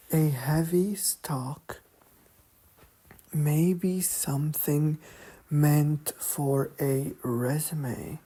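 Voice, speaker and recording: A woman narrating a bedtime sleep story in a soft, calm, hushed monotone with long pauses, often slipping toward a whisper. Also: deep voice, breathy edge.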